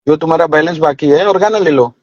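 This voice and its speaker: A man's voice: a little high, quick pace, dry recording, highs cut off. A man acting as a manager in a heated phone argument over fees, insistent and cutting in, on a recorded call. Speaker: singer's manager negotiating fees.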